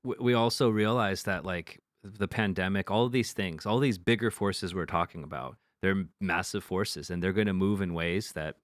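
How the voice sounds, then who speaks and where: A man's voice: sits low, moderate pace, no room sound, studio quality. A man co-hosting a podcast, talking frankly in casual chat, swearing now and then and often restarting his sentences. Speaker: podcast co-host.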